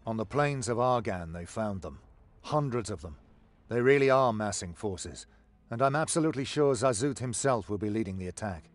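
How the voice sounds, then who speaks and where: An actor playing an earnest, loyal soldier in a fantasy video game. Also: male voice, fairly low pitch, expressive, normal speed, no room sound, natural voice. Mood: urgent briefing. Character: earnest, loyal male soldier reporting on war.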